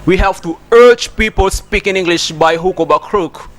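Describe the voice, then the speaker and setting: A man's voice: sits high, moderate pace. Emphatic man teaching English. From Democratic Republic of Congo. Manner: emphatic, repeats words, encouraging. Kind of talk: spoken English lesson to TV viewers.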